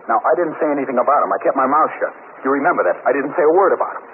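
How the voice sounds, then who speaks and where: An actor playing a sullen, defiant out-of-work robbery suspect, surly and defensive, in a 1950s radio drama. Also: male voice, medium pitch, rapid-fire, lightly acted. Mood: agitated, defensive. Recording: scratchy, narrow-band 1950s radio recording.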